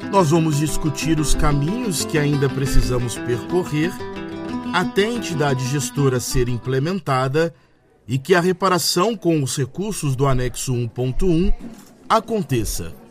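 A man's voice: a little high, lively intonation, normal speed. A man with a Brazilian accent reading scripted narration as the narrator of a radio series. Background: plucked guitar music.

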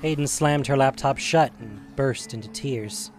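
A man's voice: medium pitch, a little slow, faint music behind. A man narrating an audio drama, reading scripted prose in a dramatic storytelling style.

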